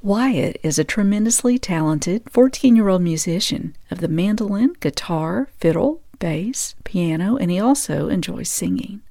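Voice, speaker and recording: Brief: female radio host, mellow tone, folksy and encouraging, conversational interviewing. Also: on the low side, vocal fry.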